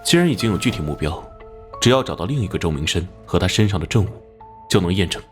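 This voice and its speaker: Animated police captain: deep-set, firm, authoritative, expressive range, music underneath. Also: male voice, fast. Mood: brisk, commanding. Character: commanding, resolute male police captain. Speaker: police captain giving orders. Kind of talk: animated crime drama acting. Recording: music behind.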